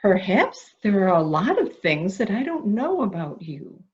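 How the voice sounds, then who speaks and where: A woman's voice: medium pitch, measured pace. A woman playing a coaxing, anxious character who humors a madman in a theatrical murder mystery drama, recorded with muffled sound. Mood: coaxing, wary. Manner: soft questioning, flattering, theatrical. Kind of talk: amateur murder mystery audio drama acting.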